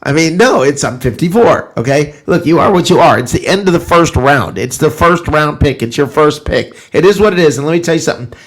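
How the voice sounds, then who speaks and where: A man's voice: fairly high pitch, lively intonation, a little fast, hardly any pauses. A man hosting a casual football talk show, speaking in an opinionated way and driving his points home with repetition. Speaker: sports talk show host.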